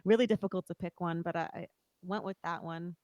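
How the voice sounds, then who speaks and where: A woman's voice: lowish voice, steady tone, fairly quick, crowd noise. A woman from Toronto chatting casually as a musician guest on a podcast. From Toronto, Canada. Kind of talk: casual chat.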